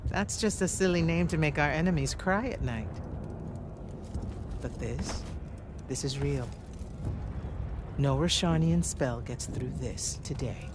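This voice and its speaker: An actor playing a seasoned, confident older army general in a video game, giving terse, authoritative orders. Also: male voice, fairly high pitch, slow pace, pauses often, background noise. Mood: steady, reassuring. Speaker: military commander. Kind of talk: video game cutscene acting.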